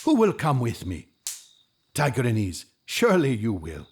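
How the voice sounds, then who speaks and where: An actor playing an earnest, devout seeker in an audio drama, hopeful and resolute, with formal, impassioned delivery. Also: male voice, medium pitch, wildly swooping pitch, unhurried, background noise. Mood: pleading.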